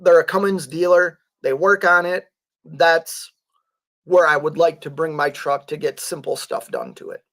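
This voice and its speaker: A man with a somewhat high voice chats loosely with his live audience as a truck driver. His voice is fraying toward hoarseness. He halts often, clears his throat and leans on fillers. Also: fairly even intonation, measured pace, fairly bright tone. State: voice going hoarse. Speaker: truck driver and livestream host. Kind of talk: casual livestream chat reading viewer comments.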